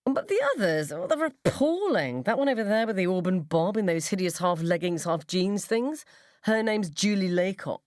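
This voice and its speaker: A woman's voice: on the low side, lively intonation, normal speed, darkish tone, no room sound, studio quality, close to an everyday voice. A woman playing a scornful, gossipy mother at the school gates in a radio story, with an emphatic, mocking drawl. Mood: gossipy disdain.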